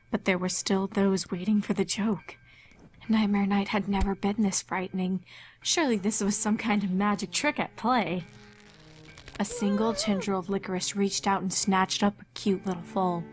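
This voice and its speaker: Woman reading a fanfic like a dramatic audiobook, medium pitch, music playing behind her. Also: fairly even intonation, moderate pace. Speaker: fan fiction narrator. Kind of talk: dramatic scripted storytelling. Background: background music.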